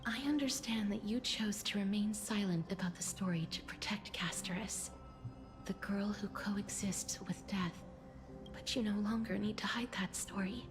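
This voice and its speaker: An actress playing a gentle, solemn young alchemist in a video game, speaking softly and formally in measured phrases. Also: female voice, mid-range voice, close to an everyday voice. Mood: gentle, reassuring. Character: gentle solemn young woman, alchemist guiding souls of the dead. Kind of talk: scripted video game voice acting. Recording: game audio with music behind.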